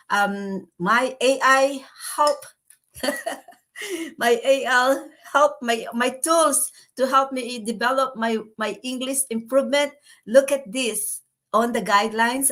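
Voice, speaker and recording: Chatty Filipino woman, higher voice. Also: a bit bright. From Philippines. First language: Tagalog. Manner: chatty and cheerful. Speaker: vlogger and business mentor. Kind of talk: casual talk to viewers.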